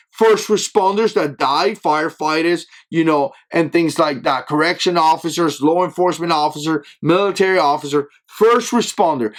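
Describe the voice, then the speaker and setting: Higher-pitched man, emphatic opinion rant. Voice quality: speaks with an accent that is not standard American. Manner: emphatic, with frequent tag questions of "right?". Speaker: channel host. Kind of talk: opinion commentary to camera.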